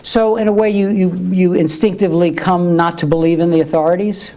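A man hosting an interview, introducing his guest and asking gentle, probing questions. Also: sits high, steady tone, a little fast. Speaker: interview host.

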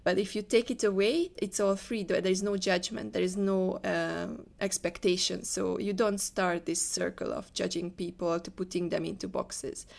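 A woman's voice: on the low side, steady tone, moderate pace, vocal fry, few pauses, darkish tone. A woman from Hungary with a Hungarian accent, answering conversationally as an interview guest. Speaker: interview guest, leadership coach.

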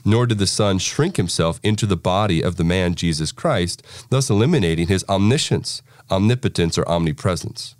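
A man with a low voice hosts and reads a prepared introduction. The recording is dry and close, with very little room sound. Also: moderate pace, hardly any pauses, no room sound. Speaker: podcast host introducing an article. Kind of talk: scripted spoken introduction.

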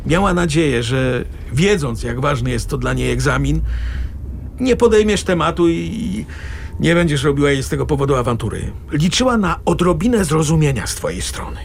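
A man's voice: on the high side, big pitch swings, normal speed, vocal fry, slight background noise. An actor playing a sarcastic, exasperated middle-aged father arguing with his wife in a radio drama. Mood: dry, reproachful. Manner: sarcastic, argumentative.

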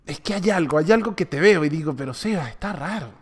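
A man's voice: fairly high pitch, expressive, fast, breathy edge. A young Venezuelan man co-hosting a podcast, teasing his partner and laughing often in casual chat. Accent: Venezuelan Spanish. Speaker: podcast co-host.

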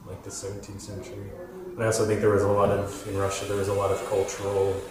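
A man's voice: lowish voice, monotone, a crowd in the background. Hesitant man in a very echoey room. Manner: hesitant, halting, chiming in with short agreements. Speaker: catechism class participant. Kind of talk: casual discussion. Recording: very echoey, heard from a distance, faint noise.

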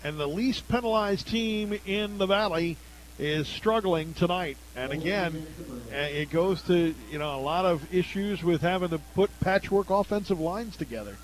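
An American man doing live radio play-by-play commentary of a high school football game. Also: moderate pace, slight background noise, rough recording. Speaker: play-by-play announcer.

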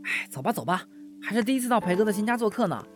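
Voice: medium pitch, very animated, very fast, faint music behind, close to an everyday voice. A performer playing a bubbly, eager young friend who gushes and chatters in an audio drama. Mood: bubbly, excited. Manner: gushing, chattering. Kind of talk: Chinese audio drama acting.

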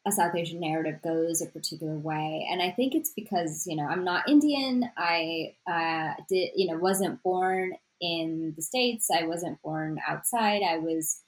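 A woman's voice: lowish voice, measured pace, hardly any pauses, poor audio. A woman author born in Sri Lanka, answering interview questions thoughtfully with many "you know" fillers and restarts. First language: Tamil. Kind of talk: interview conversation.

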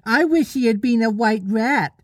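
A storybook reader voicing excitable little boys who interrupt eagerly with childlike exclamations and questions. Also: medium pitch, moderate pace, no echo, studio quality, pitched above the performer's own voice, close to an everyday voice. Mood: eager, questioning.

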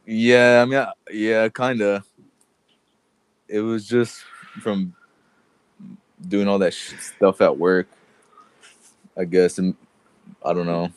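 A man's voice: on the low side, flat delivery, takes it slowly, pauses often, clear, resonant tone, dry recording, poor audio. A man co-hosting a podcast in casual chat, congested and laughing often as he jokes around. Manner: laughs often, loose and joking. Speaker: podcast co-host.

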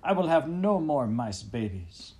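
A man's voice: medium pitch, big pitch swings, a little slow, mellow, voice pushed up. A man voicing a vain, dramatic French mother mouse with a put-on French accent, theatrical and self-pitying. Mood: haughty.

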